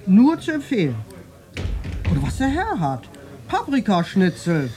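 Unhurried, matter-of-fact inn waitress. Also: female voice, medium pitch, huge pitch swings, dark tone, slight background noise. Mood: sales patter. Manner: folksy, matter-of-fact, slightly curt service patter. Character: brisk, defensive country inn waitress serving schnitzel and beer. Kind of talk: naturalistic radio drama acting.

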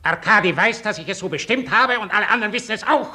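An actor playing a haughty, cruel Russian count who lords it over serfs, imperious and formal, in a 1950s German radio play. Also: male voice, high-pitched, lively intonation, quick pace, pushed and bright, heavily acted. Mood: stern, imperious. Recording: faintly noisy 1950s radio recording.

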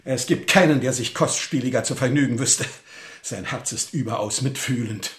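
An actor playing an agitated, jealous count in a German radio drama, theatrical and swinging between hushed remorse and forceful command. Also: male voice, mid-range voice, moderate pace, echoey room, close to an everyday voice. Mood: bitter, forceful. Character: agitated jealous count, a husband regretting a reckless wager.

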